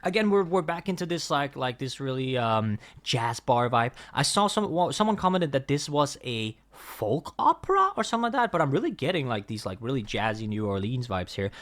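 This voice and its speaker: A man's voice: medium pitch, very animated, a little fast, hardly any pauses, fairly bright tone. A man whose first language is Danish, hosting a casual music reaction and talking in a rambling way with many fillers and restarts. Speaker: reaction video host.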